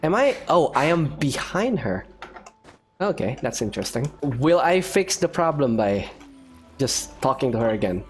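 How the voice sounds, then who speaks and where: A man giving casual let's-play commentary as a video game streamer, thinking aloud in a halting way. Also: medium pitch, big pitch swings. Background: faint game music.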